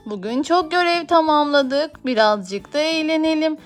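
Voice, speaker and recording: High-pitched woman in playful toy play, music behind. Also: lively intonation, a little slow. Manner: coaxing, encouraging child-directed talk. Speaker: mother playing toys with her child.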